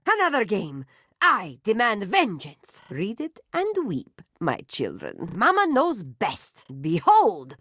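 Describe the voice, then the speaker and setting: A theatrical circus mama. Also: female voice, sits high, fairly bright tone, dry recording, clean studio sound, exaggerated voice. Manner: theatrical, grandiose, scolding. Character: melodramatic middle-aged female circus matriarch, proud and guilt-tripping. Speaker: video game character. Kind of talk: video game voice acting.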